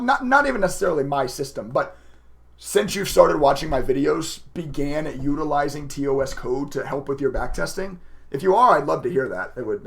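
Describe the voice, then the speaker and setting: Raspy, chatty male livestreamer. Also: a little high, fairly bright tone. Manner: chatty, emotive, laughs often. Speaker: livestreaming day trader and content creator. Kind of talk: casual live stream commentary to viewers.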